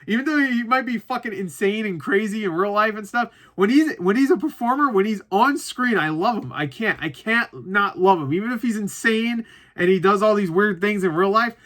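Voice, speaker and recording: A man's voice: high-pitched, animated, moderate pace, a bit bright. A man giving a casual, rambling, opinionated movie review, informal and joking, swearing freely and repeating himself for emphasis.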